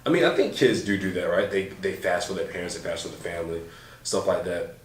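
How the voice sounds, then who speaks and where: A deep-voiced man chats in a loose, slangy podcast style, full of fillers and asides. He sits a little back from the mic in a roomy-sounding space. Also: fast, a little hiss or hum. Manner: laid-back, slangy, rambling with many fillers. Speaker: podcast co-host. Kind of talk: casual chat. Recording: roomy, a bit far from mic.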